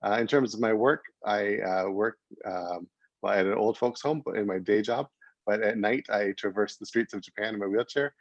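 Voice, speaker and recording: A man's voice: fairly low pitch, normal speed, no room sound. A man from Canada speaking on an online panel, hesitant, with many fillers and restarts. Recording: dull lo-fi video call audio.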